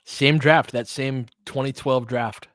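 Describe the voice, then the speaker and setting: A man's voice: mid-range voice, steady tone, takes it slowly, fairly bright tone, no room sound. Casting: man with a mid-range voice for casual, partisan basketball play-by-play with chatty analysis, recorded close and dry. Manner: partisan home-team fan, conversational asides. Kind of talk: informal live basketball commentary.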